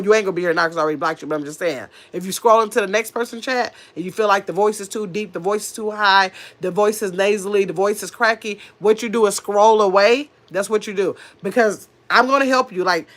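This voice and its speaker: A woman's voice: medium pitch, fairly bright tone. A middle-aged woman with a nasal voice, coming down with a cold, chatting casually on her livestream and answering viewers' comments in a sassy, rambling way. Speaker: livestream host reading chat.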